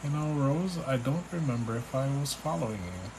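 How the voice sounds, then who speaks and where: A man's voice: mid-range voice, brisk, background noise. Chatty Trinidadian man on a live stream. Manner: relaxed, chatty, reads names and questions aloud, restarts often. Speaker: spiritual reader on a live stream. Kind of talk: live stream reading, answering chat questions.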